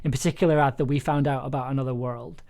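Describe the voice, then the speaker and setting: A man's voice: mid-range voice, fairly even intonation, fast talker, creaky, a little mellow. A man directing an audio drama session, giving casual, encouraging notes and circling back to rephrase himself.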